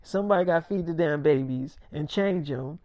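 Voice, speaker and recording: A man's voice: fairly high pitch, a little fast, darkish tone, no echo, lightly acted. A man voicing an affectionate young wife and new mother in a storytime, talking in a casual, intimate, teasing way.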